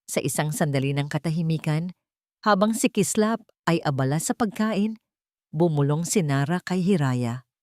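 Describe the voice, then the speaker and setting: A woman narrating a story expressively and sentimentally, in short measured phrases. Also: low voice, lively intonation, a little mellow, dry recording, clean studio sound. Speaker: audiobook narrator.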